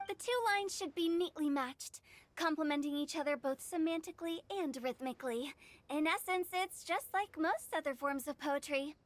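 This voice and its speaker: A woman's voice: extremely high pitch, a bit bright. A woman playing a playful, theatrical young funeral director in an anime game, with mischievous, flowery speech.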